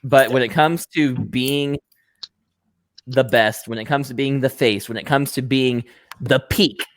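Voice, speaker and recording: A man losing his voice from yelling, talking in a heated, profane way during a casual podcast chat. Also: mid-range voice, measured pace, dry recording. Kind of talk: casual chat among friends.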